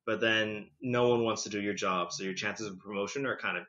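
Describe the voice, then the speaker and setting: A man in his thirties talks as a podcast guest in a loose, chatty way, full of "like" and "you know". His voice sits a little low but rings bright and forceful. The recording sounds dull and lo-fi. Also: steady tone, fairly quick. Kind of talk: casual conversational storytelling.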